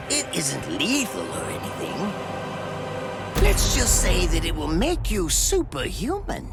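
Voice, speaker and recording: A man's voice: high voice, heavily acted. An actor voicing a smug, sadistic mad scientist in an anime game, condescending and theatrical in his drawl. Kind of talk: anime video game dub acting.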